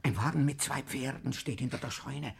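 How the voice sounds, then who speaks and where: An actor playing an agitated, loyal Polish villager in a 1950s radio drama, urgent and speaking in clipped, broken German. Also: male voice, mid-range voice, normal speed, gravelly, breathy edge, full character voice.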